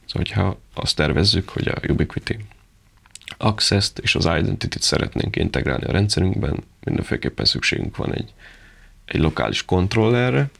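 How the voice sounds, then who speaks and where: A man with a low-pitched voice presents products off the cuff. His delivery is heavy with vocal fry and quite husky, and he explains things in an informal, practical manner. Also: animated, a bit bright.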